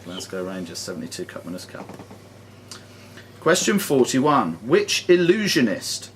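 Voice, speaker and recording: Quizmaster, lively man over faint noise. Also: mid-range voice, unhurried. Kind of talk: reading quiz questions aloud to players. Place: sports and social club room. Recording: noisy live room recording.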